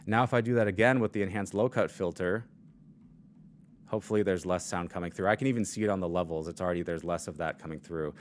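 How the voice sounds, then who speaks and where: A man with a low voice explaining microphone settings in a casual live demo, with lots of fillers, speaking into a studio mic at his desk. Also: fast. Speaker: product demo presenter. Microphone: studio mic on shock mount and arm.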